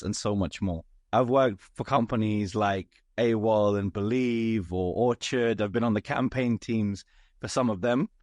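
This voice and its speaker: A man hosting an interview, his voice hoarse and raspy, asking structured, articulate questions. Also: on the low side, no echo, clean studio sound. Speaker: podcast host. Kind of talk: interview, conversational.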